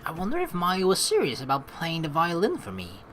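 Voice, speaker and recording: A woman's voice: on the low side, huge pitch swings, fairly quick. A woman hosting a casual let's play, playfully reading game narration and rambling through her own commentary. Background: game background music.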